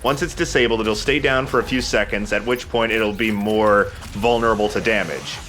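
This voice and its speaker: Low-voiced man doing casual gameplay commentary. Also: normal speed, fairly bright tone, slight background noise. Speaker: let's play host.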